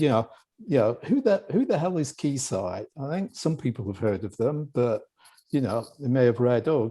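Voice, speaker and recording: British exec guy on a video call, easygoing and chatty, soft mellow voice. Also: medium pitch. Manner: relaxed, chatty, joking asides. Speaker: guest executive. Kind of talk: conversational interview answers.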